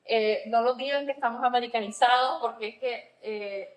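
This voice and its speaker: A woman's voice: fairly high pitch, fairly even intonation, normal speed, rough recording. A woman with a Nicaraguan Spanish accent, explaining things conversationally in a semi-professional register as a therapist and podcast co-host.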